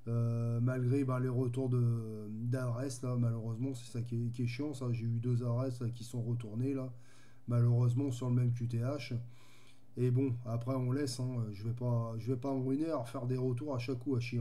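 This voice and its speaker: A man's voice: medium pitch, mellow. A man chatting casually as a radio hobbyist, easygoing and rambling in his way of talking. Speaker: amateur radio operator.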